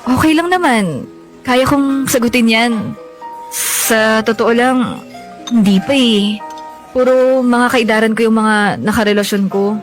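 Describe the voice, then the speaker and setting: Woman acting young filipina, kinda high voice, coy n teasing but reassuring, radio drama w faint music. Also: unhurried, pauses often, natural voice. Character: frank, teasing younger woman longing for motherhood.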